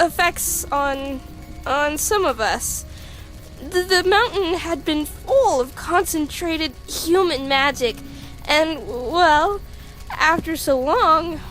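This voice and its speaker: A woman voices an anxious female monster scientist in a cartoon dub. The voice is high and unhurried, with halting, stammering delivery over faint music. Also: fairly bright tone, exaggerated voice. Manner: hesitant, stammering. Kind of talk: animated comic dub acting.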